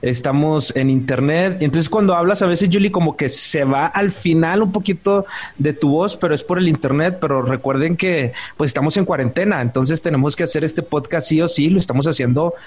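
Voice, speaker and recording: A man co-hosting a podcast over a video call, playful and jokey, excitable in casual chat. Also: a little high, brisk. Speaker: podcast co-host.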